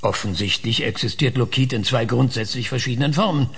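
Husky, halting, sardonic male scientist. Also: mid-range voice, a bit bright, full character voice. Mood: probing, excited. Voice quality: husky, raspy. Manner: hesitant, halting delivery with hums and ers. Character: sardonic, embittered male scientist, last of his kind. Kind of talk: radio play dialogue.

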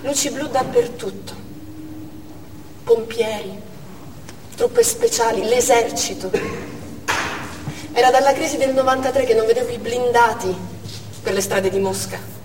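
Anxious female medic played by a stage actress, fairly high voice, dramatic monologue, recorded far off with noise. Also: unhurried, pauses often, close to an everyday voice. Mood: agitated. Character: anxious woman ambulance medic recounting an emergency. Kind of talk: theatrical monologue, first-person narration on stage. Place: theatre stage, distant microphone. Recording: echoey theatre hall from far off, noisy.

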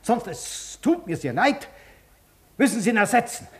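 An actor in a German radio play, playing an agitated, imperious gentleman doctor with a formal, demanding, impatient manner. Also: high voice, big pitch swings, moderate pace. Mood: stern, urgent.

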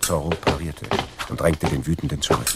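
A man's voice: a bass voice, fairly even intonation, brisk. A man narrating a swashbuckling radio play as a grave, dramatic storyteller. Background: plucked guitar music.

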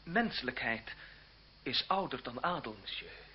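An actor playing a proud, righteous young seminarian in a radio drama, with an earnest, formal, declamatory delivery. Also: male voice, medium pitch, animated, measured pace, natural voice. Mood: proud defiance. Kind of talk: Dutch radio drama acting. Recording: muffled old radio recording with background noise.

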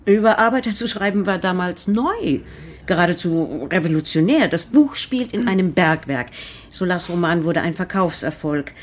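An actress playing a stern, harried literature teacher in a radio drama, instructive and matter-of-fact. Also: female voice, on the low side, animated, moderate pace, a little hiss or hum, natural voice. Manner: instructive, matter-of-fact, calling class to order. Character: stern, harried female schoolteacher of German literature.

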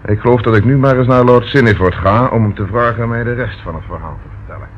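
A man's voice: medium pitch, fast, close to an everyday voice. A man acting a persistent, courteous police inspector in a Dutch radio play, questioning formally and politely. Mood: polite, thoughtful. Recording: dull, faintly noisy old radio recording.

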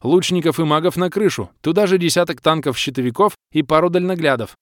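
Man voicing a fierce commanding female clan leader, raised pitch. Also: quick pace, no room sound, clean studio sound, natural voice. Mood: firm, commanding. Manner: barking crisp orders.

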